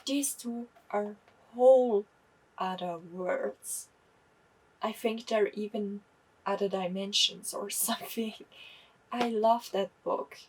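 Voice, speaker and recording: Young woman, husky voice, slow and candid book chat. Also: mid-range voice, a little mellow, far from the mic, rough recording. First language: German. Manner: chatty, candid, self-correcting. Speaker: book vlogger. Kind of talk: casual book review.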